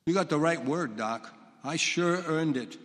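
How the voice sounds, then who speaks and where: An actor with a Brooklyn accent playing a gruff, complaining middle-aged cab driver on a church stage. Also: male voice, on the high side, animated, a little slow, a bit bright. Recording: echoey hall.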